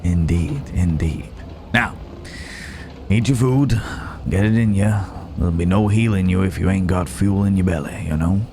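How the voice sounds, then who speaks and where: A man playing a gallant yet menacing Wild West cowboy in an intimate ASMR roleplay, unhurried, with pauses and folksy old-fashioned phrasing. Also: deep voice, background noise. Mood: teasing, caring. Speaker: voice actor in an audio roleplay.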